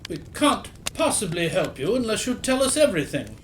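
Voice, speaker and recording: A man acting a courteous, earnest Victorian gentleman in an audio play, speaking formally. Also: high voice, big pitch swings, brisk. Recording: faint background noise.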